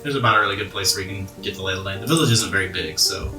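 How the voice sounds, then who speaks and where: A man narrating a tabletop game as game master, explaining things informally and a bit haltingly. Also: sits low. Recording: roomy, distant, music underneath. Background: background music.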